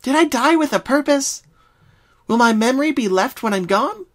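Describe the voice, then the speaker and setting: A man's voice: extremely high pitch, lively intonation, moderate pace, voice pushed up. A man voicing a deranged, murderous female pony in a horror fan story, lecturing theatrically with sweet menace. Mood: menacing lecture.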